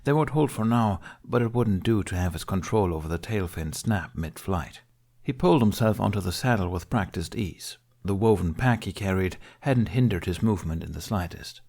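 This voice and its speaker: A man with a fairly low voice narrates an audiobook. He tells an adventure story in an expressive, scripted storytelling style. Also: normal speed, creaky, few pauses.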